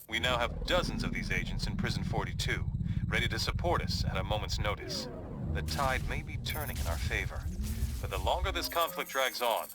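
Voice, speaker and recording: Man as confident hero commander, low voice, measured game briefing, noisy background. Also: natural voice. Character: confident armored superhero leader briefing his team. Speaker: mission briefer. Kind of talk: video game cutscene briefing.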